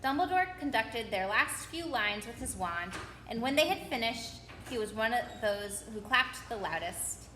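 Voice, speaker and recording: A woman reading a children's novel aloud in an expressive storytelling manner. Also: fairly high pitch, normal speed. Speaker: story reader. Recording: roomy echo with faint background noise.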